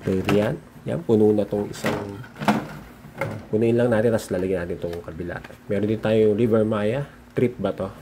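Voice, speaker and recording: A Filipino man doing a casual, unscripted show-and-tell as a vlogger, halting and muttering to himself as he handles things. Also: on the low side, gravelly. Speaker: vlogger showing his cassette collection. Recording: noisy recording with handling clicks.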